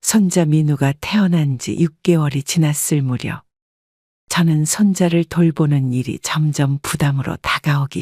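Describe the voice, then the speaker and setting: A woman narrating her own family story in the first person, calm and reflective. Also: low voice, no echo, clean studio sound.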